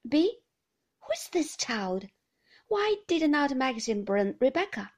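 A woman's voice: sits high, big pitch swings, slow, pitched above the performer's own voice, exaggerated voice. A woman voicing a frail, confused old grandmother with a thin, querulous voice who keeps asking the same things. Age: older. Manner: plaintive, repeating herself.

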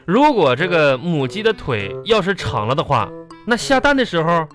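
Blustering, swooping-voiced comic dad. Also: male voice, on the high side, sing-song, normal speed, fairly bright tone, soft background music. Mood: teasing, blustery. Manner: sputtering, repetitive, coaxing and scolding. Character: blustering, stingy, teasing father of a small girl. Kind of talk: comedy radio drama.

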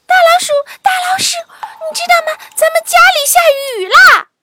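A woman voicing a small, anxious young mouse in a children's story, whining and pleading excitably. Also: extremely high pitch, normal speed, very noisy, voice pushed up. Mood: alarmed.

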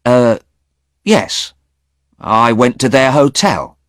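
A man's voice: medium pitch, lively intonation, slow, a bit bright, no room sound, studio quality, pitched above the performer's own voice. A man reading aloud voices a defensive young man who hesitates and dodges questions. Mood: evasive.

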